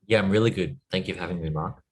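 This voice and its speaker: A man in his thirties from Australia, a business coach giving relaxed interview answers with lots of "like" and "you know". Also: sits low, racing. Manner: relaxed, uses filler words.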